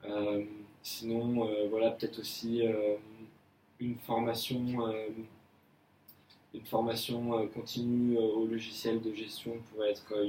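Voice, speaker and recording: A young man plays a polite, earnest employee in an amateur office role-play, stumbling through his answers with fillers and repeats. His voice sits low and barely moves in pitch. It is recorded from a distance in an echoey room with a faint hiss behind it. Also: dark tone, natural voice. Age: young adult. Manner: hesitant, many fillers and restarts. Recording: echoey room, mic far off, faint noise.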